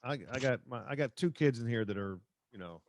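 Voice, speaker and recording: A man's voice: mid-range voice, a little fast, slight background noise. A middle-aged man hosting a sports podcast in a chatty, wry, conversational way. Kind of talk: casual conversational banter.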